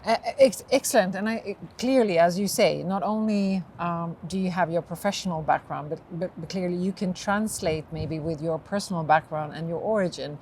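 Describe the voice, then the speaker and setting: A woman's voice: on the low side, moderate pace, hardly any pauses, a little mellow. A woman host in a casual outdoor interview on a windy day, often backing up her guest with "mm-hmm". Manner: frequent "mm-hmm" backchannels. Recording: faint outdoor noise.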